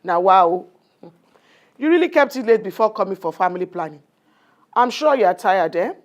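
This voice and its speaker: A woman's voice: medium pitch, animated, moderate pace, close to an everyday voice. A woman with a Nigerian accent acting as a condescending, bossy family planning nurse in a radio drama, talking down with exclamations and little tags. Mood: patronizing. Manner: patronizing, talks down with exclamations and tags. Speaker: nurse in an educational radio play.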